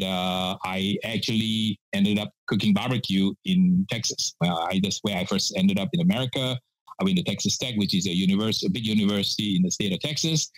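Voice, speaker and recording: A man's voice: deep voice, no echo, rough recording. A man in his sixties from Malaysia, a pitmaster guest telling stories in a casual podcast interview with lots of fillers. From Malaysia (Penang). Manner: chatty storyteller, many "uh" fillers, laughs often.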